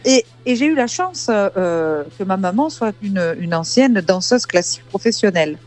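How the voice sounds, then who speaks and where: A woman's voice: medium pitch, lively intonation, normal speed, a little mellow, faint music behind. A woman in her fifties from the south of France, a singer being interviewed, hesitant and repeating her words. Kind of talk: casual interview.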